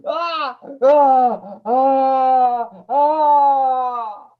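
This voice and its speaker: A man playing an evil, fanatical undead doctor from hell with theatrical, drawn-out cries in old time radio horror style, on a dull recording. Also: very high voice, full character voice. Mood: desperate agony.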